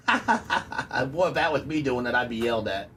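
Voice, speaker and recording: A man's voice: medium pitch, expressive, unhurried, bright, forceful tone. Male, casual joshing banter, slangy delivery, distant mic, faint background noise. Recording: noisy room, off the mic.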